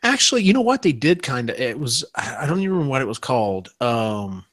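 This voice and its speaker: Casual man hosting, halting delivery, dry sound. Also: mid-range voice, very animated, a bit bright, no echo. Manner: halting, rambling, feels for words. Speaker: podcast host. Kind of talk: casual panel chat.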